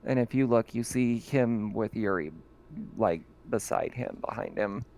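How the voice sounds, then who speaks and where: Male game master, unhurried delivery, halting with fillers. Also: lowish voice, darkish tone. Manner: slow, halting narration with fillers and laughs. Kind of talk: narrating a tabletop game.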